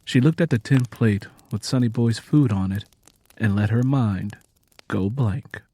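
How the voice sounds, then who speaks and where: A man's voice: fairly low pitch, unhurried, mellow. A man narrating a scripted dramatic story as an audiobook.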